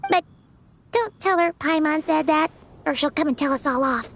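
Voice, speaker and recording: A woman voicing a tiny, excitable childlike fairy companion in a video game, squeaky and chatty. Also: moderate pace. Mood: exasperated. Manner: chatty, exclamatory, refers to self in third person. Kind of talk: animated video game voice acting.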